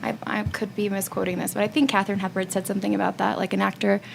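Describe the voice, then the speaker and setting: Female moderator. Mid-pitched with noticeable vocal fry, conversational, faint room noise. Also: level tone, fast talker. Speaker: panel host. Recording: live panel with background room noise.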